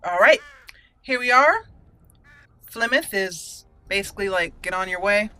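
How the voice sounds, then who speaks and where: A woman's voice: medium pitch, sing-song, measured pace, sharp tone, smooth. A woman hosting a roleplay let's play, chatty and playful, commenting and reading dialogue aloud. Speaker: gaming channel host.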